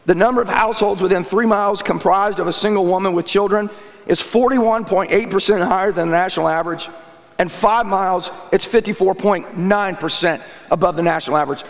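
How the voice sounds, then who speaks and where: High-voiced preacher with a worn voice. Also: male voice. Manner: clears throat often, conversational preaching with "all right?" tags. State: losing his voice. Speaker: pastor. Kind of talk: sermon. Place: church sanctuary. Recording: echoey church hall.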